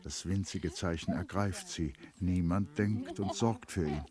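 A man narrating a radio play in a measured, literary storytelling style. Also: low voice, darkish tone.

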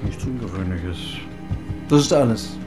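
Terse bookish archivist, played by an actor, music behind. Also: male voice, lowish voice, animated, normal speed, lightly acted. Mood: matter-of-fact. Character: bookish male archivist, matter-of-fact, mildly regretful. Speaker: archivist in a radio play. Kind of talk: German radio drama acting.

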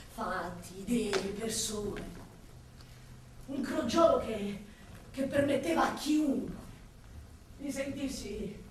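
An actress playing a wistful, grieving mother who loves her city, in a lyrical, deliberate theatrical monologue with lingering pauses. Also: a little high. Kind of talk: theatrical monologue for stage or radio drama. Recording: echoey hall, distant mic, faint noise.

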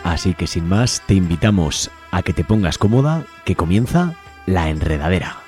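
A man's voice: low voice, animated, normal speed. A man presenting a live community radio show in a conversational way. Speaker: radio host. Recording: music playing behind the voice. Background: music.

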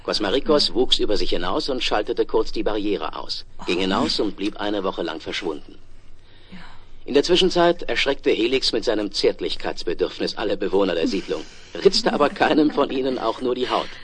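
An actor playing a confident, wry space explorer in a 1970s German science-fiction radio play, with a theatrical, dryly ironic delivery. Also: male voice, lowish voice, big pitch swings, normal speed, fairly bright tone, slight background noise. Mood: assured, reporting.

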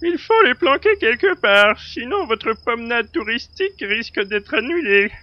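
An actor voicing a quick-thinking male alien in an amateur sci-fi audio drama, with an urgent, pressing delivery. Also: very high-pitched, sing-song, dull audio.

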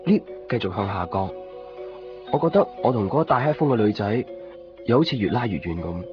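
An actor playing a wistful young shopkeeper, narrating a radio drama in Hong Kong Cantonese in a reflective, measured way. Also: male voice, on the low side, very animated. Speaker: narrator and lead character. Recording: muffled 1990s radio drama recording. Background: guitar music.

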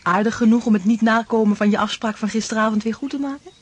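An actress playing an affectionate, playful young lodger in a radio drama, coaxing and direct. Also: female voice, on the high side, steady tone, quick pace, breathy edge. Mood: tender, coaxing. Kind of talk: Dutch radio drama acting.

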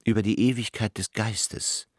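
A man's voice: on the low side, steady tone, normal speed, no echo, clean studio sound. A man narrating a literary radio drama from a script.

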